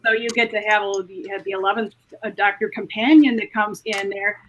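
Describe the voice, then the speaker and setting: A woman's voice: medium pitch, steady tone, a little fast, muffled recording, slight background noise. A woman speaking as a podcast panelist, recommending favourites in casual chat.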